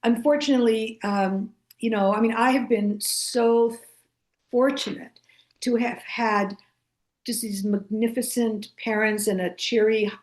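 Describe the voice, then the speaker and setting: A woman whose first language is Greek, a guest author speaking thoughtfully, with pauses and fillers. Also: fairly high pitch, fairly even intonation, a little mellow. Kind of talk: casual conversational interview.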